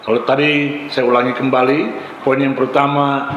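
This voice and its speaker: A man's voice: mid-range voice, little pitch movement, moderate pace, fairly bright tone, muffled recording. A male pastor from Ambon, Indonesia, preaching a sermon in short, emphatic, exhorting phrases with repetition. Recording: echoey hall with long reverb, faint noise.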